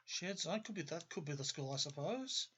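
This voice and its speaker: A man's voice: a little high, lively intonation, moderate pace, pushed and bright, slight background noise. An Australian man hosting a gaming video, thinking aloud in casual commentary and often qualifying or correcting what he says. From Australia, grew up in Melbourne. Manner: discursive, self-correcting, qualifies his statements. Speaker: host of a gaming video series.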